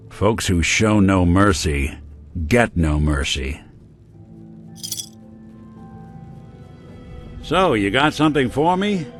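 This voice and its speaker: An actor voicing a weary, wry, good-hearted middle-aged robot private detective in a video game, with an American accent and deadpan delivery. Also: male voice, fairly low pitch. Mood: grim, wry. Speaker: companion character in a video game.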